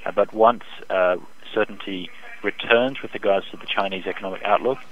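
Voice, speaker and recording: Low-pitched man on a dull phone line. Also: steady tone, fairly quick, slight background noise. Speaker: economist and currency strategist interviewed as expert. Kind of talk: phone interview, analytical market commentary. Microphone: telephone.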